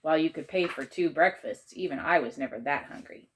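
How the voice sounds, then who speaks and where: A woman reading a children's chapter book aloud in measured, expressive narration. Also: sits low, a little hiss or hum.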